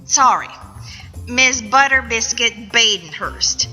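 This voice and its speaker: A woman's voice: on the high side, animated, takes it slowly, pushed and bright, heavily acted. An actress playing a folksy, skeptical young rookie policewoman with a Southern US accent in a comic radio play. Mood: dismissive. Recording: echoey event hall, music behind.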